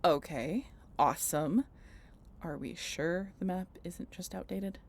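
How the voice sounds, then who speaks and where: A woman's voice: fairly low pitch, slow pace, slight background noise. A woman playing a snarky, teasing female vampire in an audio roleplay, talking to the listener in a wry, conversational way. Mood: exasperated. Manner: sardonic, wry, playful, conversational. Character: snarky, swearing female vampire road-tripper, teasing and dramatic.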